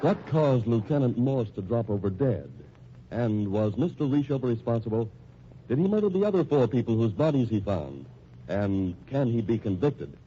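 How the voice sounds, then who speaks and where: A man's voice: mid-range voice, animated, normal speed, warm tone, close to an everyday voice. A man as a suspenseful announcer, reading scripted narration for an old-time radio mystery. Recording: dull, noisy old radio recording.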